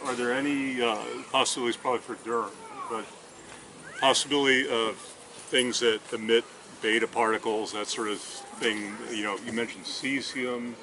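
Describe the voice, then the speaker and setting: A man asking questions at a public meeting in a halting, roundabout way. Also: medium pitch, fairly even intonation. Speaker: attendee questioning officials. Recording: noisy public meeting, ambient noise.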